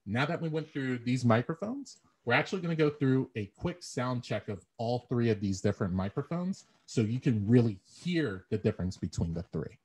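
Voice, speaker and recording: A man with a deep baritone voice giving an instructional talk, explaining things step by step and repeating phrases as he thinks. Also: lively intonation, moderate pace, hardly any pauses, a little mellow, breathy edge. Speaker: presenter. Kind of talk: instructional talk on audio gear. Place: small bare room at home. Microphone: desktop condenser mic, then earbud headset. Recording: dull lo-fi earbud audio with echo.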